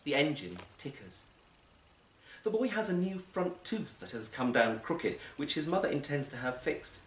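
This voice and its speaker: A man reading a short story aloud at a live event, with measured, deliberate, dramatic phrasing. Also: a little high, very animated, fairly bright tone. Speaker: actor and audiobook reader. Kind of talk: literary story reading, scripted narration. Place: performance space in a library, live event. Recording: roomy live recording with faint noise.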